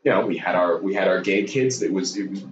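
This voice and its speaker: A man in his twenties from Hong Kong, a podcast guest talking haltingly with many restarts and jokes. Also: sits low, noisy background. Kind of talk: casual interview chat. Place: home room with a dog. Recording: roomy, distant, dull sound.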